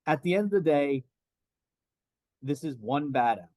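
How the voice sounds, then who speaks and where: A man co-hosting a wrestling podcast, making a calm, even-handed argument. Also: on the high side, dry recording. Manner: measured, reasoning, even-handed. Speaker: podcast co-host. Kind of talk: casual wrestling podcast chat.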